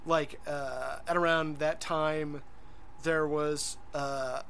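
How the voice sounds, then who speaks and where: A man's voice: fairly high pitch, flat delivery, takes it slowly, gravelly, fairly bright tone, slight background noise. A man co-hosting a podcast in casual chat, rambling and hesitant, full of fillers and restarts. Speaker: podcast co-host.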